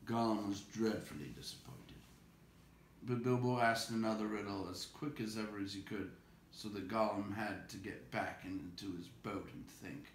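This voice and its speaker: Low-voiced man reading a story, roomy echo. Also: fairly even intonation, moderate pace. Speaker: storybook reader. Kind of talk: expressive read-aloud narration. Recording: echoey room with faint background noise.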